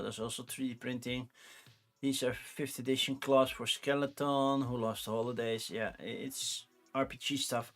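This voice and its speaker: A man's voice: medium pitch, fairly bright tone. Easygoing Dutch-accented man rambling casually. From Netherlands. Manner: rambling and easygoing, with frequent restarts. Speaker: streamer. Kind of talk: casual livestream chat.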